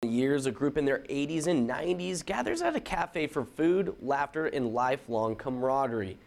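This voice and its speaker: Gravelly male news anchor. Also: medium pitch, very animated, a little mellow. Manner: measured, scripted. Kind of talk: news introduction.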